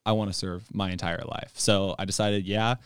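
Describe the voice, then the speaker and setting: An earnest young man talks eagerly about his calling, piling up fillers and restarts. His voice sits very low but comes through smooth and clear. The sound is close and dry. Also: fairly even intonation, moderate pace, no echo. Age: young adult. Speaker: church intern. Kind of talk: casual chat.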